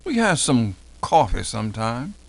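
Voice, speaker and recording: A man's voice: medium pitch, big pitch swings, unhurried, gravelly, no room sound, heavily acted. A man playing an elderly formerly enslaved American man recalling his childhood in a folksy, dialect-heavy dramatic reenactment. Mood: plain reminiscing. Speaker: professor doing a dramatic reading. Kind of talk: dramatic first-person oral history reenactment.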